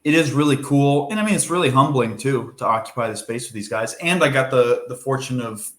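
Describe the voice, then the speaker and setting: A young man from Minnesota, a baseball pitcher, talking casually in a podcast interview, easygoing and rambling with fillers. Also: a little fast, fairly bright tone, roomy sound.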